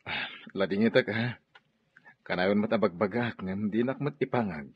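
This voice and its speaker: A man's voice: lowish voice, normal speed, slightly rough. An actor playing a frank, reproving middle-aged godfather in a radio drama, exclaiming as he chides. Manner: exclamatory, chiding. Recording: dull lo-fi radio drama audio.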